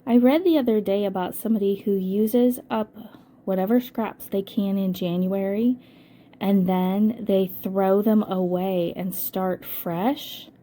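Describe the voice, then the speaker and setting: Older lady, hoarse from a cold, rambly um-filled craft voiceover, warm low-key tone. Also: measured pace. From United States. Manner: chatty, thinks aloud with frequent ums. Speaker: scrapbooking channel host. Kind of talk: casual crafting voice-over.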